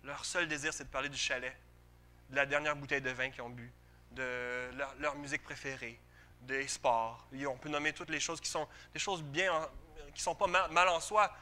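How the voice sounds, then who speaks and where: A man's voice: a little high, steady tone, normal speed, pushed and bright. A man with a Quebec French accent preaching a sermon to a congregation in an emphatic but conversational way, often putting questions to his listeners.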